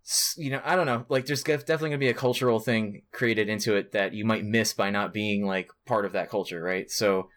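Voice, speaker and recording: Man, lower-pitched voice, casual podcast chat, informal, rambling, sweary. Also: brisk, few pauses, fairly bright tone. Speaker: podcast co-host.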